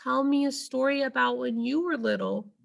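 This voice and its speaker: A woman's voice: sits high, normal speed, gravelly, voice pushed up. A woman voicing a sad young female elephant calf, sniffling and tearful, in a children's storybook. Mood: tearful, pleading. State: crying, sniffling.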